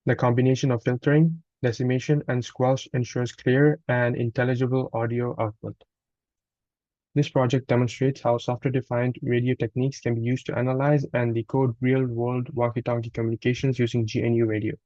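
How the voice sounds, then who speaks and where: A man reads a scripted technical walkthrough as a student presenter, somewhat haltingly, sometimes stumbling and correcting himself. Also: mid-range voice, little pitch movement, dark tone, no echo, lo-fi sound. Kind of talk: scripted technical narration, read aloud.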